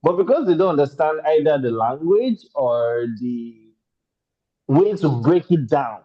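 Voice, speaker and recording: A man's voice: on the high side, a little slow. A man speaking animatedly as a speaker in an online panel discussion, chatting conversationally over a video call. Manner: animated, conversational, laughs between points. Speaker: webinar speaker. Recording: slightly muffled call audio.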